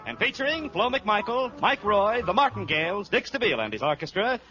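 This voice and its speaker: Lively, bright-voiced vintage radio announcer man. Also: high-pitched, a little fast, dull audio. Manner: projected, formal announcer delivery. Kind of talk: 1940s radio variety show announcing. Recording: scratchy 1940s radio broadcast, music behind.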